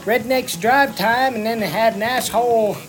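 A chatty, proud redneck reviewer, played by a woman, has a slightly high voice. The casual monologue runs over faint music. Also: normal speed, natural voice. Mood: pleased, chatty. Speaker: recurring review segment presenter.

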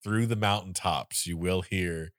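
A man with a very deep, low voice talks casually in an animated way, laughing and tossing in quick snatches of song. The sound is close and dry, with no room around it. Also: dry recording. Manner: animated, sings snippets of songs, laughs often. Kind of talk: casual chat.

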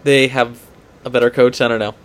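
A man's voice: mid-range voice, level tone, brisk, smooth. A man co-hosting a sports podcast in casual debate, often hedging and repeating his points for emphasis.

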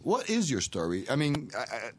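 A man's voice: mid-range voice, huge pitch swings, normal speed, breathy edge. A man hosting a call-in radio talk show, upbeat and folksy as he greets callers and guests.

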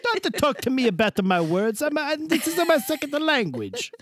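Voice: high-pitched, huge pitch swings, normal speed, fairly bright tone, slight background noise, heavily acted. A podcast co-host putting on an effusive middle-aged Italian woman who speaks broken English in a mock Italian accent and keeps gushing. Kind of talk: comic character bit in casual chat.

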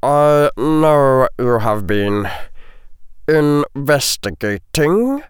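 Audiobook villain type, male narrator as a guarded, icy potions master. Hushed, deliberate, drawn-out delivery. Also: medium pitch, sing-song, clear, resonant tone, dry recording, clean studio sound, pitched above the performer's own voice. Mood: soft, threatening. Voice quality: very soft, hushed.

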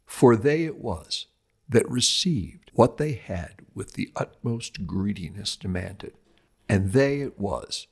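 A man reading a scripted religious commentary like a lecture, with emphatic, measured phrasing and dramatic stress on scripture quotations. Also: fairly low pitch, gravelly, breathy edge.

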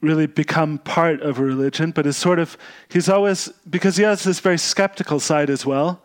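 A man who is a monk, raised between Austria and the U.S., speaking as a guest on a podcast. He is thoughtful and often pauses to search for words. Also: fairly high pitch, steady tone. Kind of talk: podcast interview.

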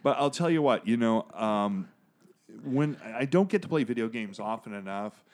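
A man's voice: mid-range voice, lively intonation, normal speed. A man co-hosting a casual podcast, rambling through digressions with lots of fillers and jokey, profane asides. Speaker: podcast co-host. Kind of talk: casual podcast chat about comics.

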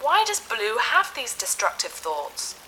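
Bright, excitable childlike AI. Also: female voice, a little high, measured pace, soft background music, heavily acted, rough recording. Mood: ominous, confiding. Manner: refers to itself by name, naive, blunt. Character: childlike, excitable ship AI with cheerfully villainous streak. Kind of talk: sci-fi audio drama acting.

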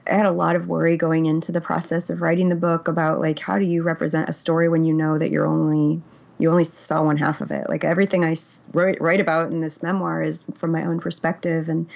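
Gravelly woman author, thoughtful and hesitant. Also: deep voice, a little mellow. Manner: reflective, hesitant, many fillers and restarts. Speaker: memoir author, guest. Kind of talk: candid conversational interview. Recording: dull, lo-fi audio.

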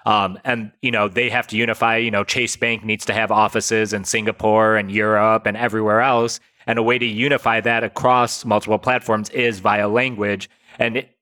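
Bright-voiced man in his late thirties. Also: lowish voice. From United States. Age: late 30s. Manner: thoughtful, explanatory. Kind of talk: casual chat.

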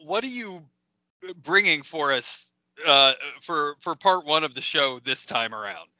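A man's voice: a little high, big pitch swings, a little slow, sharp tone, dry recording, highs cut off. A man hosting a casual podcast chat, teasing and laughing a lot. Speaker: podcast host.

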